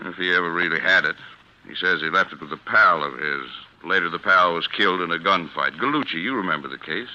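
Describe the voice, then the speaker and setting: A low, very bright and forceful voice of a middle-aged American lawyer, avuncular and earnest, reassuring as a kindly boss. It is 1940s radio drama, muffled by the old recording. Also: male voice, brisk. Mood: fatherly, reassuring. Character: fatherly, reassuring older male law boss. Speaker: defense attorney, head of a law office.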